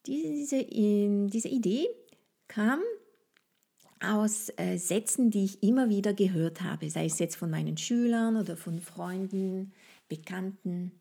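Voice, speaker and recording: A woman with a French accent, a native French speaker, hosting a solo podcast in a gentle, inviting way and speaking straight to the listener. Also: mid-range voice, takes it slowly, gravelly. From France. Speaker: podcast host and French language trainer.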